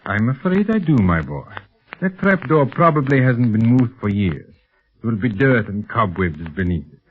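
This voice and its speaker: An actor playing a suave, secretly criminal scientist with a formal, condescending manner in a 1930s radio serial. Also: male voice, medium pitch, big pitch swings, normal speed, slightly rough. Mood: evasive, firm. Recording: crackly, narrow-band 1930s radio recording.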